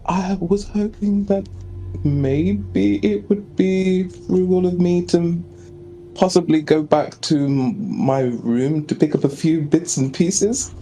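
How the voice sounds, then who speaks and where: A man's voice: high voice, takes it slowly, mellow, faint music behind, voice pushed up, rough recording. A man playing a nervous, evasive vault engineer in a tabletop game, hesitant and apologetic. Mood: nervous, sheepish.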